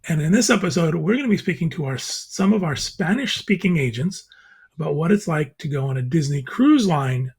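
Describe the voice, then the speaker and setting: A man hosting a casual video panel chat, introducing guests and asking questions in a friendly, steady way. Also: on the high side, husky. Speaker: podcast host.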